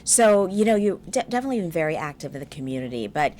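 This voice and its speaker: A woman with a low-pitched voice hosts an interview in a studio. She speaks in an encouraging, conversational way. A faint layer of ambient noise sits behind her. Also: big pitch swings, quick pace, a little mellow. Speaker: interview show host. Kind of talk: studio interview with scripted introduction.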